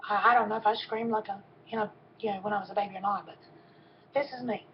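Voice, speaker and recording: A woman in her fifties with a soft voice, giving a personal testimony in a halting way with frequent pauses and ums. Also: medium pitch, steady tone, a little hiss or hum. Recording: dull, lo-fi recording.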